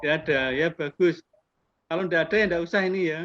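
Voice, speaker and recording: A man's voice: on the high side, quick pace, fairly bright tone. A man in his fifties with a Javanese accent, an assessor chatting in a friendly way with teachers over a video call. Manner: friendly, chatty, mixes in Javanese politeness words. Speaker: accreditation assessor. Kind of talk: online interview with teachers. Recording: muffled video call audio with background noise.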